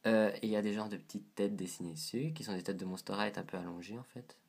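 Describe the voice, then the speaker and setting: A man's voice: lowish voice, fairly even intonation, fast, creaky, a little mellow, husky. A man with a head cold and a stuffy nose, reviewing a doll to camera in a casual, hesitant way, describing details as he handles it.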